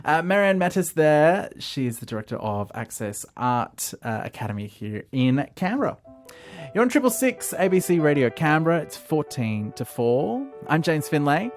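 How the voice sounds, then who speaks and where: A man hosting a radio interview, relaxed, with plenty of uhs. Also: on the high side, moderate pace, soft background music. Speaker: radio host.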